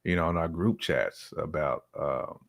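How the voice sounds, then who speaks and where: Deep-voiced man chatting casually. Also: unhurried, creaky. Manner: colloquial, emphatic, searching for words. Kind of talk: casual conversational chat.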